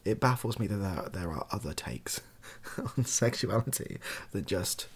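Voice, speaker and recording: Soft, low, creaky British man. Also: animated, a little slow. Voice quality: soft, breathy, lapsing into near-whisper. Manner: self-deprecating, hesitant, wry. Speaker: video host. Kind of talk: softly spoken improvised rambling monologue.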